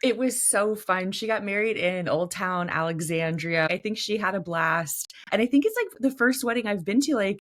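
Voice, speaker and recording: A young woman co-hosting a casual podcast, chatty and animated, her voice a little hoarse. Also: mid-range voice, normal speed, few pauses. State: slightly hoarse. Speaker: podcast co-host, editor. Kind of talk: casual chat.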